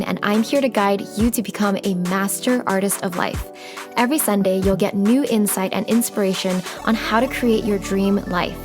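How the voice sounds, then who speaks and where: A woman hosting a podcast interview, talking in an upbeat, enthusiastic way. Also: mid-range voice. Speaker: podcast host.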